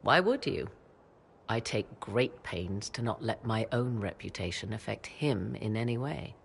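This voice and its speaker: An actress voicing a mysterious, secretive mage mother in a video game, speaking in a measured, formal, archaic way. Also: rumbling low, animated, gravelly, slight background noise, heavily acted. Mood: grave. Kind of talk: video game cutscene dialogue.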